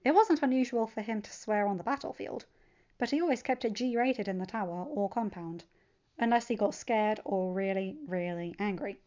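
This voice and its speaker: A woman narrating a fan-fiction story as an expressive audiobook-style reading. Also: mid-range voice, normal speed, muffled recording. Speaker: podfic narrator. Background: background music.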